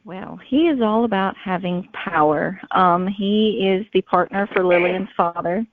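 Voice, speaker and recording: A woman's voice: medium pitch, no echo. A woman speaking casually and conversationally as a guest author answering interview questions. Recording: thin phone-like sound.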